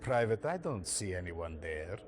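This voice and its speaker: An actor playing a cold, calculating male officer in a game cutscene who speaks calmly and with pointed menace. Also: sits low, unhurried, slight background noise. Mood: menacing. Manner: calm, pointed, quietly menacing.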